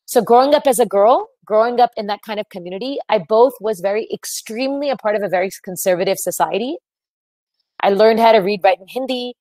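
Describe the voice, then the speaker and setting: A woman's voice: medium pitch, fairly quick, warm tone, no echo, poor audio. American woman telling her story. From United States. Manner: earnest, reflective storytelling with frequent fillers. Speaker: webinar speaker, entrepreneur. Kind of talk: presenting a webinar talk.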